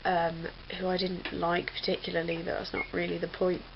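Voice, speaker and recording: A woman's voice: on the low side, completely flat delivery, normal speed, creaky. Young woman chatting in a lo-fi recording. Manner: rambling, chatty, many fillers. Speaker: vlogger. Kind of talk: casual chat. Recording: dull lo-fi webcam audio with faint hiss.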